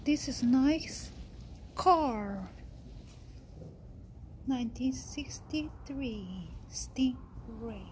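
Slow-spoken woman. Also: fairly high pitch, animated, darkish tone, a little hiss or hum. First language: Korean. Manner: short exclaimed comments, simple phrasing. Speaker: travel vlogger. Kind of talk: casual walkthrough narration. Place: vehicle museum indoors.

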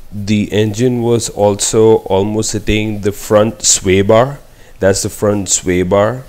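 A man from India walking through a car engine swap in a relaxed explanatory vlog, speaking matter-of-factly with occasional fillers, partly recorded on a phone in a garage workshop. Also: fairly low pitch, clear, resonant tone. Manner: slow, matter-of-fact walkthrough with fillers. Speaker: car build vlogger. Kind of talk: casual explanatory voice-over and on-site commentary.

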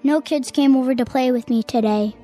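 A woman's voice: high voice, brisk, warm tone, soft background music, natural voice. An actress voicing an eerie little boy in a radio drama, blunt and childlike with a petulant, innocently menacing edge. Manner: blunt, childlike, short answers.

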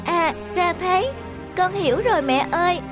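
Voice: very high-pitched, normal speed, over music. A performer voicing an eager, curious, sensitive little boy in a cartoon. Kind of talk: cartoon voice acting.

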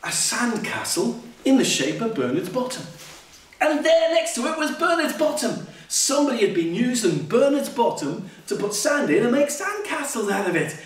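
A man's voice: extremely high pitch, sing-song, moderate pace. An older man reading a children's storybook aloud theatrically, with exclamations and playful asides. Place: indoor curtained stage. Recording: echoey hall, distant mic, faint noise.

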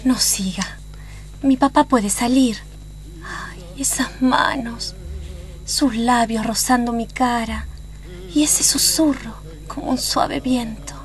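An actress playing an anxious, secretive young sweetheart in a tango radio play, pleading dramatically with an Argentine accent. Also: female voice, on the high side, takes it slowly. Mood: flustered. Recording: music behind the voice.

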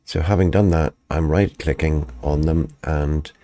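A man, a video editing tutor, calmly walking step by step through a software tutorial over a screen recording, his voice slightly croaky after the flu. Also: very deep voice, a little slow, slight background noise. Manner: calm, methodical step-by-step explaining.

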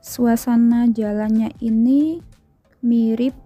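A woman's voice: a little high, fairly even intonation, slow, dull audio, over music. A woman narrating a hiking vlog. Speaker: vlogger.

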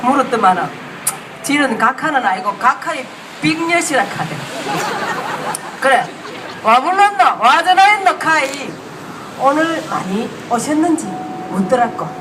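A performer playing a boastful, brash old grandmother with a Gyeongsang accent, loud and rough-spoken as she teases a rally crowd. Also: sits high, expressive, a little slow, pauses often. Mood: brash teasing. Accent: Gyeongsang dialect. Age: older. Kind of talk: comic character act at a rally. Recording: distant PA sound with loud crowd and noise.

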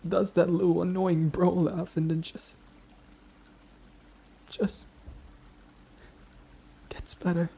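A man playing a gentle, timid, grieving best friend in an intimate audio roleplay, speaking softly and haltingly as he confides. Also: sits high, level tone, creaky, slightly rough, full character voice. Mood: anguished, angry. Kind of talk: boyfriend ASMR audio roleplay, intimate dramatic acting. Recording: noticeable background ambient noise.

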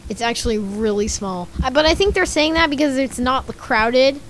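A woman's voice: high-pitched, normal speed. A young woman with a slightly scratchy voice giving casual, giggly commentary over gameplay and reacting to what she reads on screen. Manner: chatty, giggly, reads game chat aloud and reacts. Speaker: gaming YouTuber.

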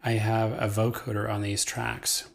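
A man giving a casual screencast software tutorial, informal and playful, thinking aloud as he goes. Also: lowish voice, flat delivery, measured pace, vocal fry, music in the background. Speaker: music software instructor.

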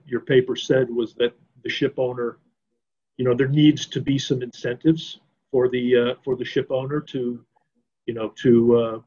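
Older guy in an online business panel, soft mellow tone, slightly husky, kinda halting, dull call audio. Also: medium pitch. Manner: measured, halting, repeats words while thinking. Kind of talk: unscripted conference discussion. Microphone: video call.

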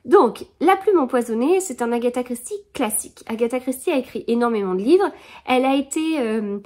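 A woman's voice: fairly high pitch, animated, fairly quick, darkish tone. A woman in her thirties hosting a book podcast, chatting casually and rambling with many fillers and restarts, recorded at home in front of a bookshelf. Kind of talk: casual chat about books. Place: living room in front of a bookshelf.

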